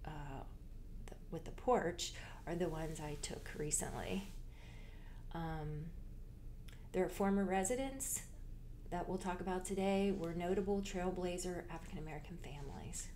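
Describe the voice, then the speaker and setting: Low-voiced woman giving a slide talk. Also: warm tone, a little hiss or hum. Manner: unhurried, informative, with frequent fillers and restarts. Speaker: library genealogist. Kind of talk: narrated slideshow presentation. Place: library presentation.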